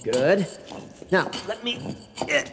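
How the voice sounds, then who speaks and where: A man playing an excitable, suspicious and eager young archaeologist's assistant in a radio drama. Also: high-pitched, slow pace, full character voice. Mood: awed, eager. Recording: noisy vintage radio drama recording.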